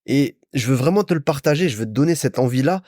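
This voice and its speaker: Casting: young male podcast host from France, chatty and informal, animated intonation, dry studio-like sound. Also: medium pitch, quick pace, dry recording. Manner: chatty, informal, addresses listener as a friend. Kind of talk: casual solo podcast monologue, storytelling.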